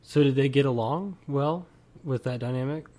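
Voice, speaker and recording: A man's voice: mid-range voice, normal speed, warm tone. A man hosting a podcast, chatting casually and loosely with his guest, with lots of "like" and "you know". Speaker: podcast host. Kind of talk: casual interview.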